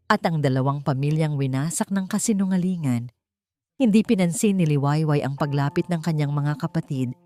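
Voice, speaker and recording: A woman's voice: fairly low pitch, a little mellow. A woman reading a scripted, dramatic romance story as its narrator. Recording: faint music behind. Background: faint music.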